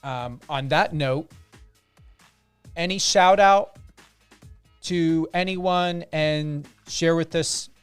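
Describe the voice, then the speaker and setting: A man hosting a radio interview, upbeat and earnest, handing out shout-outs to listeners. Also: on the high side, very slow, long pauses, clear, resonant tone, faint music behind. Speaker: radio host.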